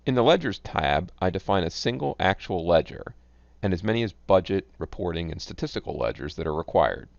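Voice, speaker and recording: A man giving scripted, instructional narration as a software tutorial presenter, reading in a measured, enunciated way. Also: low voice, sing-song, vocal fry, no room sound. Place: close, dry voice-over recording. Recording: dull, lo-fi close voice-over.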